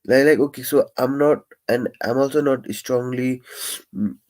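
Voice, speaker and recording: A young man who stammers, talking in a casual, reflective live-stream monologue with many pauses, fillers and restarts. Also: medium pitch, a little mellow.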